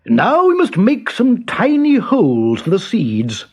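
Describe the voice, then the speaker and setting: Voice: mid-range voice, huge pitch swings, measured pace, a little mellow, breathy edge, pitched above the performer's own voice, heavily acted. Narrator as commanding, growly storybook lion. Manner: firm, growly, emphatic. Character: male lion, leader of the animals, commanding.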